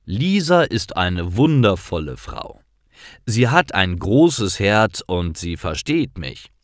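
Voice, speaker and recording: A man narrating scripted stories for language learners in deliberate, clearly separated short sentences. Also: low-pitched, very animated, dry recording, clean studio sound.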